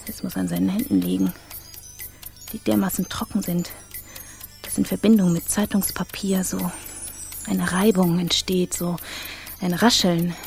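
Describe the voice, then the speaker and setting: An actress playing an irritated, fussy, contemptuous wife in a radio drama monologue, creaky-voiced, nervy, halting and interrupting herself. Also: female voice, fairly low pitch, little pitch movement, a little mellow, soft background music. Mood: exasperated.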